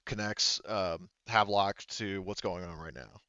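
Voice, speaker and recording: A man's voice: low voice, fairly even intonation, unhurried, sharp tone, clear, resonant tone, no echo, dull audio. A man running a tabletop roleplaying game, explaining details to his players in a clarifying way. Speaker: game master.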